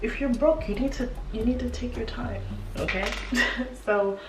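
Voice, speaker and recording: A woman's voice: fairly high pitch, a little slow. A young woman with a congested voice from a cold, chatting casually as a beauty vlogger getting ready at home, with lots of fillers and laughs. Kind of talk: casual get-ready-with-me chat. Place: home apartment room. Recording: echoey room, mic at a distance, music behind.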